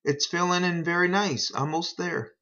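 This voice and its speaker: A man giving a casual how-to narration in an unhurried, folksy way, talking through each step as a hobbyist. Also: high-pitched, sharp tone. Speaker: hobbyist demonstrating a repair. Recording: dull, lo-fi home recording.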